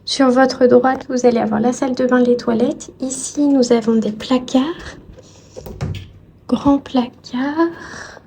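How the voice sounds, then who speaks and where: A woman narrating a casual travel vlog in a polite, soft-spoken, descriptive way. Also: high-pitched, fairly even intonation, takes it slowly, long pauses, a little hiss or hum. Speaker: vlogger.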